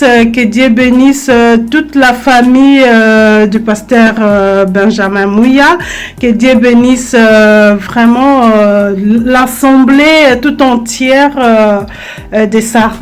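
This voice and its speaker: Woman, video greeting, slow halting delivery, slightly high voice. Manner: hesitant, many filler sounds; warm well-wishing, blessing. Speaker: church member sending a video greeting. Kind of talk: recorded video message. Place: Berlin, Germany.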